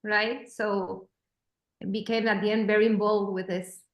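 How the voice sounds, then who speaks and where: A woman's voice: mid-range voice, level tone, unhurried, muffled recording. A woman filmmaker in a casual panel discussion, often restarting her phrases while she searches for words. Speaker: documentary filmmaker and moderator. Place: panel event room. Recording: echoey room.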